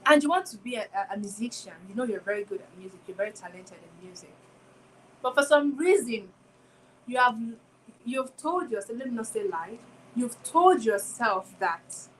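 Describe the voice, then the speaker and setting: A woman's voice: a little high, animated, normal speed, slight background noise, low-quality recording. A woman in her twenties hosts a solo motivational talk, speaking reflectively and returning again and again to rhetorical questions. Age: 20s. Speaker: podcast host.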